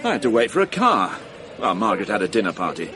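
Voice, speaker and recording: A sardonic police inspector. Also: male voice, fairly low pitch, sing-song, quick pace, bright, forceful tone, a little hiss or hum. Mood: dry. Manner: wry, clipped, lightly sarcastic. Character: dry, sardonic middle-aged male police inspector. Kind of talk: radio drama acting.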